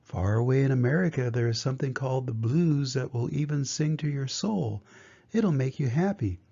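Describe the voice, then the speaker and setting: Ancient kindly storybook turtle, older male reader, unhurried, soft dark tone, dull recording. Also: mid-range voice, animated, creaky, husky. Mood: kindly, wise. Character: wise, gentle, very old female sea turtle. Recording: dull, muffled lo-fi sound.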